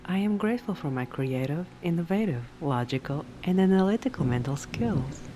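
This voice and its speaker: A woman reads scripted affirmations for sleep hypnosis in a measured, deliberate way, phrase by phrase. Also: low-pitched, expressive, noisy background. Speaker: affirmations narrator. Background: background music.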